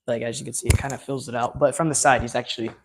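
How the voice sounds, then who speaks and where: A man chatting loosely through a live unboxing, his voice going hoarse from hours of talk. He rambles along with plenty of ums and uhs in a mid-pitched, everyday tone. His enthusiasm carries through the fillers. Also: fairly even intonation, very fast. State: losing his voice. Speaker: live-stream host. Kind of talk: casual live unboxing chat.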